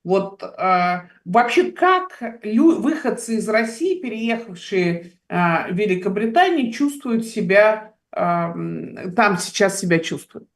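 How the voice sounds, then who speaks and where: A woman's voice: medium pitch, lively intonation, slow pace, hardly any pauses. Woman hosting a political interview. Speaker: talk show host.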